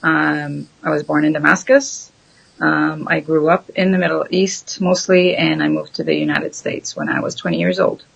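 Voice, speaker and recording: Hesitant woman, slightly gravelly. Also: low voice, expressive, normal speed, muffled recording. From Syria. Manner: hesitant, thoughtful, many ums. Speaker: guest. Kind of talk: casual interview chat.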